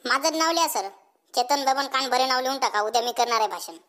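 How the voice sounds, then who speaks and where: A man's voice: extremely high pitch, quick pace, a bit bright. A man voicing a bold, cheeky cartoon schoolboy with a rural Marathi accent and a blunt, folksy way of talking. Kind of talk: comic cartoon dialogue and mock school speech.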